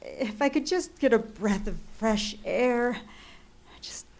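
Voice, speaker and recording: An actress playing an anxious, demanding bedridden wife in an old-time radio thriller, flustered and easily exasperated. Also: female voice, on the high side, expressive, slow, darkish tone. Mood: impatient. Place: live theatre stage radio play.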